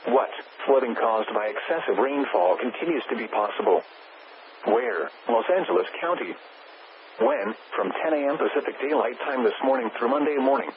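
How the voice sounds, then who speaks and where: A man's voice: medium pitch, gravelly. Tinny, noisy synthetic American male weather-radio announcer. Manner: flat synthetic delivery, clipped headings. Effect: weather radio broadcast. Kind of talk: automated weather bulletin, formal scripted reading. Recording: narrow tinny radio band, loud static noise.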